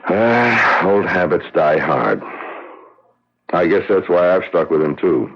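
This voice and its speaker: An actor playing a loyal, wry middle-aged man still in love, with a gravelly, husky voice, in a radio drama. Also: low-pitched, animated, slow pace, heavily acted. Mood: wry, devoted. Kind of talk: 1970s radio drama acting. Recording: dull lo-fi vintage radio recording.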